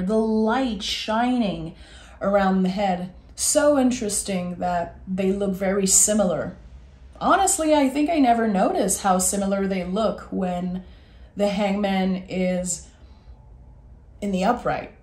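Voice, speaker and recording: A woman with a raspy voice giving a gentle, soothing tarot reading. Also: medium pitch, echoey room. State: raspy voice today. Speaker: tarot reader.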